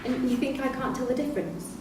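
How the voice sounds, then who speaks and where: An actress playing a firm, watchful prison drama teacher, brisk and direct, in a staged play reading. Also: female voice, high-pitched, a little mellow, lightly acted. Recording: distant echoey hall with clear background noise.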